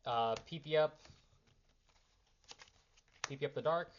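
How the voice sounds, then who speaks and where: A man's voice: fairly high pitch, slow pace, dull audio, a little hiss or hum. A young man who is a little sick, casually explaining card game lines off the cuff, rambling and correcting himself as he handles cards. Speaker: card game player giving a strategy primer. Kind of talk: impromptu casual strategy explanation.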